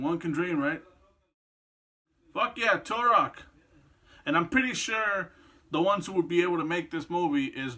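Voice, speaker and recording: Bright-voiced young man, rambling and profane. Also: on the high side. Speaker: video host. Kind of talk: casual opinion vlog.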